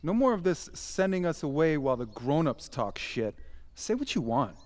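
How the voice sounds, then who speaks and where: Mouthy teen boy played by a man, very animated. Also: fairly high pitch, normal speed. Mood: defiant. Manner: jeering, foul-mouthed, slangy. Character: crude, mouthy teenage high school boy. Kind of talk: fantasy audio drama acting.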